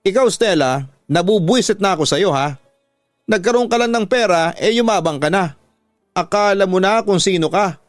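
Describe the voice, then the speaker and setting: Man voicing angry Filipino father, boastful, scolding, higher pitched, dry close sound, radio drama. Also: expressive, normal speed, no room sound. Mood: angry shouting. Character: proud, angry, domineering father of a grown daughter.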